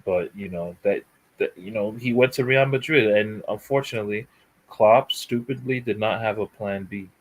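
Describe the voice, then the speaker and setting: A man on a football chat panel, giving his view with many pauses and fillers. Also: fairly low pitch, rough recording. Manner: halting, lots of fillers. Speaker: panel guest.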